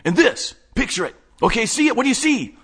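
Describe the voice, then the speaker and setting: A wired, obsessive male collector of strange tapes, pitched well above a normal speaking voice, as a man puts him on. He rattles through his collection in loud, excitable, rambling bursts. It sounds like an audiobook character voice. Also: a little fast, breathy edge, exaggerated voice. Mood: frantic.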